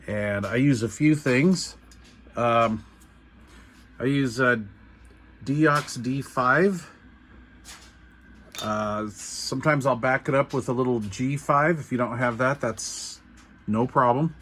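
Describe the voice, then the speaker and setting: A middle-aged or older American man giving an informal hands-on how-to explanation in an unhurried, halting, folksy manner. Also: mid-range voice, fairly bright tone. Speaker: repair tutorial host. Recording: clear background noise.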